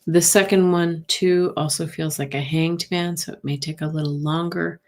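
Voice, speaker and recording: A soft-spoken woman with a slightly low voice talks through tarot cards on a live stream. She muses gently and unhurriedly, speaking into a desk mic at her table. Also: steady tone, normal speed. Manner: gentle, thinking aloud, answers viewers by name.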